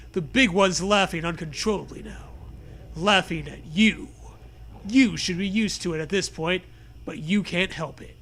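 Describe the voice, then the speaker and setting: A man reads a silly storybook aloud in a high voice. His delivery is slow, with a bright edge, and slips easily into casual banter. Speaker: reader of a comic choose-your-own-adventure book.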